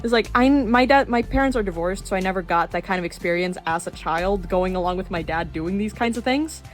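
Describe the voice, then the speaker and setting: A woman of twenty-one chatting casually in a rambling way while showing her sketchbook, with fillers and quick laughs. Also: mid-range voice. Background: soft background music.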